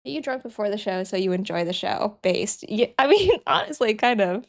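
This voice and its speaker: Sniffly young woman with a gravelly voice. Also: mid-range voice, animated, fairly quick. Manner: chatty, reads chat aloud and thinks aloud while working. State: sick and sniffly. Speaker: streamer. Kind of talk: casual live-stream chat.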